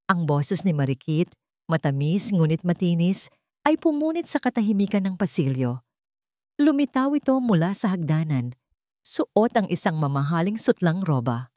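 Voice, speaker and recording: Mellow, low-pitched woman narrator. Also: no room sound, studio quality. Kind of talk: scripted dramatic story narration.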